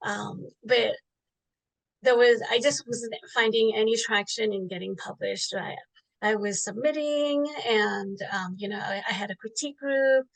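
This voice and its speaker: A middle-aged woman author who grew up in Singapore answers interview questions in a relaxed, reflective way. Her voice carries a light gravelly fry, and she often restarts her sentences and fills pauses with "um.". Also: on the high side, rough recording. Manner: thoughtful, many fillers and restarts. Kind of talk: interview answer, casual storytelling.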